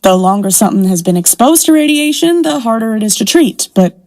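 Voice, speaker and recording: Creaky-voiced, guarded rancher scientist, played by a woman. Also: on the high side, animated, brisk, a little mellow. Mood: nervous, evasive. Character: guarded, weary nuclear scientist turned rancher, adult. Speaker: actor in an audio drama. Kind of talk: audio drama acting.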